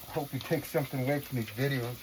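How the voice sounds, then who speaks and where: A woman's voice: a bass voice, racing, noisy background. A woman talking casually to viewers in an earnest, reflective monologue, with slangy, profane phrasing. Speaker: vlogger.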